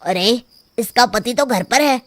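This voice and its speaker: A woman's voice: on the high side, unhurried, very noisy, natural voice. A woman voicing a sneaky, jittery village burglar in a cartoon, talking in a conspiratorial way. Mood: nervous.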